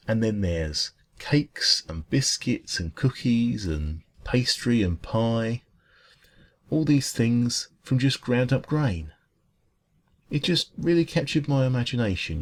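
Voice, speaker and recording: A man voicing a friendly, food-loving dragon who bakes at a café, chatting gently and earnestly in a rambling ASMR roleplay. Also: medium pitch, sing-song, slow, long pauses. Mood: earnest wonder. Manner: gentle, rambling, hesitant, earnest enthusiasm about baking. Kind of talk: ASMR roleplay, soft casual chat.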